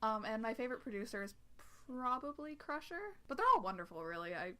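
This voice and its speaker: A woman's voice: on the high side, lively intonation, fast, a bit bright. An eighteen-year-old woman from Canada chatting casually with friends, hesitant and full of ums. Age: young adult. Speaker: cover singer. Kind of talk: casual group chat.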